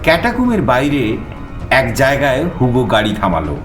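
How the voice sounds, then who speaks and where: An actor playing an adventurous, eager explorer who narrates his own suspense audio story in an expressive manner. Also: mid-range voice, a little fast. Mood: suspenseful, excited. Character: male first-person narrator, adventurous, eager explorer visiting Paris. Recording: echoing voice over background music.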